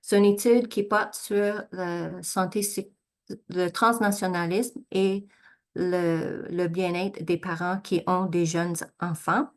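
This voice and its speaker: Woman, researcher, academic talk, slightly low pitch, hesitant, self-correcting. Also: fairly even intonation. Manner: measured, frequent "euh" fillers and self-corrections. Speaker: researcher presenting study results. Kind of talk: academic presentation walking through slides.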